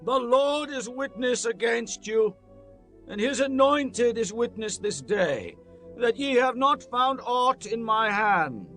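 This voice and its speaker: A man acts out a stern old prophet in a dramatized scripture reading. The voice is high and forceful, declaiming solemnly and slowly to his people. Soft music plays underneath. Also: lively intonation, full character voice. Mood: stern admonishing. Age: older. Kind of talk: dramatized King James Bible reading.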